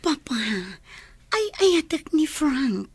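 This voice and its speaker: A woman with an Ilocano accent playing a lovestruck, pleading daughter in a radio drama, with a husky voice. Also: sits high, very animated, slow pace, a little mellow, dry recording, exaggerated voice.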